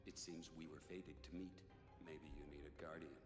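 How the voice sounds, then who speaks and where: An actor playing a terse, deadpan, world-weary warrior guardian in a video game. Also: male voice, very deep voice, moderate pace. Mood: stoic, terse. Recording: echoey game audio under loud music.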